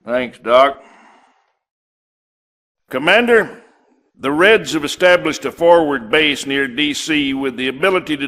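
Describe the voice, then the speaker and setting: An actor playing a folksy, gruff, confident American army general in a game cutscene, talking informally. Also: male voice, fairly high pitch, fairly bright tone. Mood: determined. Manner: folksy, informal, drawn-out hesitations. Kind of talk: dramatic game cutscene acting.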